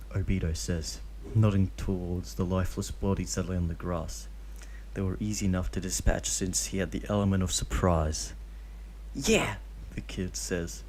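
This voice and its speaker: A man reads a story aloud in a steady, plain voice. He pitches it low, with a soft, mellow tone. Now and then he slips in a dry joking aside. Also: slight background noise. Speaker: reader of fan fiction.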